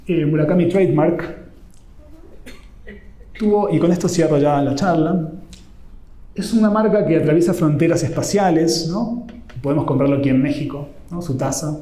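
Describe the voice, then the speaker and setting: A fairly high-pitched Argentine man speaks in a dark, mellow tone as he gives an informal literature lecture, often tagging his phrases with "¿no?". The room around him is echoey. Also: moderate pace, pauses often. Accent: Argentine Spanish. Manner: conversational, keeps adding "¿no?" at the end of phrases. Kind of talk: informal academic talk.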